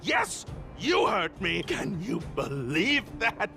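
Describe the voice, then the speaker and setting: A man's voice: high voice, huge pitch swings, slow pace, gravelly, bright, forceful tone, raised voice, exaggerated voice. A man playing a jittery, easily frightened night patrolman in a video game, stammering and melodramatic. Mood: terrified, dramatic. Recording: game music behind the voice. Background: game background music.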